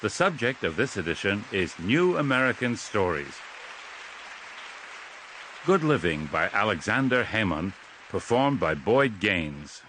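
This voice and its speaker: A man giving a formal introduction as a program announcer, in a lively announcing manner. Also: fairly low pitch, unhurried, slight background noise, poor audio.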